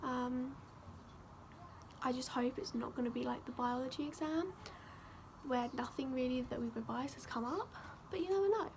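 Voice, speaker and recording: Croaky-voiced teen girl vlogging. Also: high-pitched, moderate pace, long pauses, noisy background. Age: teens. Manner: chatty, full of "like" and "um". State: sore throat, croaky voice. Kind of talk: casual vlog chat.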